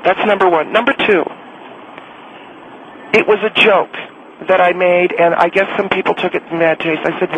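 A man putting on a gravelly, combative woman caller. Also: sits high, dull audio, slight background noise, voice pushed up. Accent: American. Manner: combative, interrupting, sneering. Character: indignant Italian-American woman caller. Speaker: caller character on a radio show. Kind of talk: comedy radio call-in bit.

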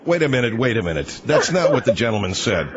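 A man hosting a call-in radio show, who talks in an animated, needling, incredulous way. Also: mid-range voice, fast talker, lo-fi sound, a little hiss or hum.

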